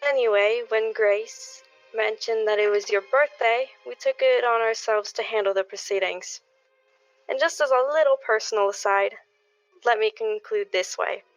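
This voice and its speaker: A woman acting in a radio play as a sentimental middle-aged neighbor, speaking in a measured, folksy speechmaking way. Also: fairly high pitch, fairly bright tone, no room sound. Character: sentimental middle-aged neighbor giving an affectionate party toast. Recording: dull, lo-fi recording.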